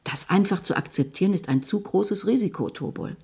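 An earnest scientist with a breathy, husky voice full of creak. She pleads in short, measured phrases. It is acting for a science fiction radio drama. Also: medium pitch, expressive, warm tone, exaggerated voice. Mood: urgent, imploring. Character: earnest, pleading female scientist coaxing a distrustful being.

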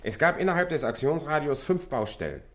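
Eager police officer reading out findings, precise, very animated intonation, 60s radio play. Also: male voice, fairly high pitch, fairly quick. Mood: businesslike. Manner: precise, formal report delivery. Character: brisk, dutiful male police inspector reporting findings. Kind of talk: 1960s German radio drama acting.

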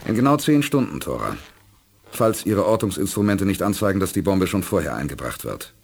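An actor playing a decisive, self-assured space commander, composed and authoritative, in a 1980s German radio play. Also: male voice, deep voice, big pitch swings, fairly quick, creaky, a little mellow, slightly rough, exaggerated voice. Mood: confident.

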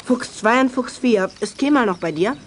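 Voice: mid-range voice, lively intonation, normal speed, noisy background, natural voice. A performer playing an inquisitive, friendly child detective sidekick in a children's radio play. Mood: probing.

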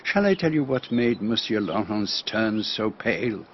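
An astute Belgian detective in a radio drama. His French-accented voice is husky, with formal, precise phrasing that savours each explanation in a fussy, teasing way. The recording is a little dull and lo-fi. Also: on the low side, sing-song, moderate pace, full character voice. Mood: gently explaining.

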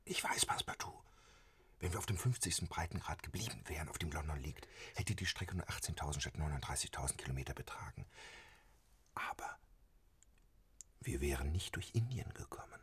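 An actor playing a composed gentleman in a German radio play, talking in a hushed, patient and precise way. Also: very deep voice, animated, brisk, long pauses, whispered, exaggerated voice. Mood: patient explaining.

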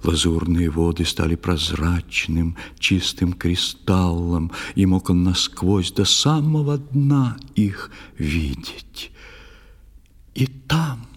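A man narrating a children's audio play in verse, in a measured, expressive, theatrical manner. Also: low-pitched, in a large hall.

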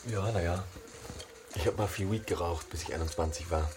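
An actor playing a disillusioned young student and model in a naturalistic radio drama, talking in a terse, colloquial way with music underneath. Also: male voice, deep voice, a little fast, natural voice. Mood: jaded, casual. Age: young adult.

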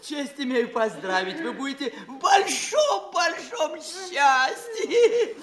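An actor playing a silly, fussy little provincial gentleman, fawning and prattling in a farcical radio play. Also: very high voice, big pitch swings, slow pace, crowd noise, heavily acted. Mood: giddy prattle. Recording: echoey room.

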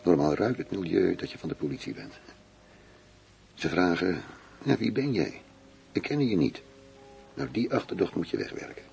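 An actor playing a worldly, self-assured German undercover police chief, teasing and intimate in a radio play. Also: male voice, low-pitched, expressive, moderate pace, gravelly, long pauses, darkish tone. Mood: sober, weary.

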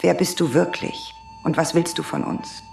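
A man's voice: fairly high pitch, fairly even intonation, moderate pace, vocal fry, a little mellow, soft background music. A man playing an uneasy, suspicious space traveller in an audio drama, wary and questioning. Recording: hissy, noisy recording.

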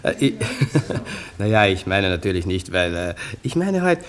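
An actor playing a wary, mistrustful drinker in a radio play, hesitant and insinuating. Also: male voice, sits low, very animated, unhurried. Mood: mocking, wary.

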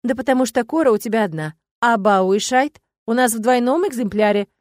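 A woman's voice: on the high side, a little fast, no echo, clean studio sound, voice pushed up, lightly acted. Woman voicing a snarky young witch. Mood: teasing. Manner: snorting, teasing.

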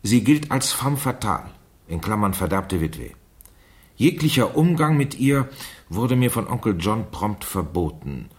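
An actor playing a wry, deliberate investigator in a German radio crime drama. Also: male voice, fairly low pitch, sing-song. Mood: reading, dry. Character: wry investigator reading aloud a girl's diary.

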